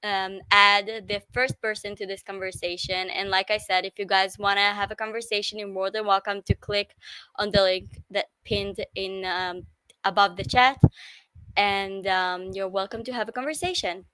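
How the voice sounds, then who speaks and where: A woman's voice: mid-range voice, fairly even intonation, normal speed, pushed and bright, smooth, dry recording, rough recording. A woman with an Israeli accent and Hebrew as her first language, hosting a casual live stream in hesitant English with frequent self-corrections.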